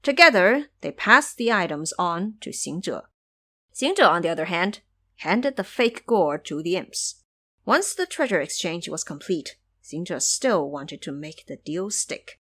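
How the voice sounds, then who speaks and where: A woman narrates a fantasy story with very lively, expressive intonation. Her delivery is scripted and dramatic, in the manner of an audio storybook. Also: on the low side, a little slow.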